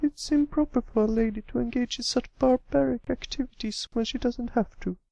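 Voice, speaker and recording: Here is a young cartoon filly played by a man, pitched very high in a girlish falsetto. She has the pouty air of a little sister in a storybook. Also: brisk, creaky, warm tone, no room sound, highs cut off, exaggerated voice. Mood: pouty, mimicking.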